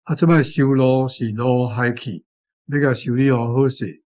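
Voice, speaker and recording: Mid-pitched Taiwanese man teaching. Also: steady tone, normal speed, darkish tone. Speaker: language teacher giving model translations. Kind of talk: explanatory teaching.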